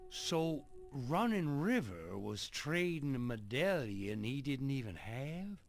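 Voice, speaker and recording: Western game role: hot-headed gunslinger, drawl, slow pace, very animated pitch, suspicious and menacing. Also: male voice, no echo, soft background music. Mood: wary. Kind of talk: dramatic video game cutscene acting.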